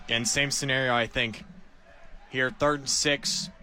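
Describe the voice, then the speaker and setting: A man's voice: mid-range voice, fairly even intonation, a little slow, pushed and bright, slight background noise. A man with an American accent giving live football analysis as a color commentator.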